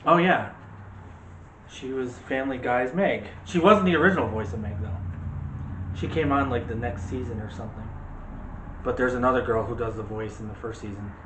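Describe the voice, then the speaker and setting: A man's voice: medium pitch, moderate pace, long pauses. Chatty guy hosting a movie chat vid, bit far from the mic, echoey room. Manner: chatty, addresses viewers directly. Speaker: video host. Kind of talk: casual movie chat to viewers. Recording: roomy, noisy, off-mic home recording.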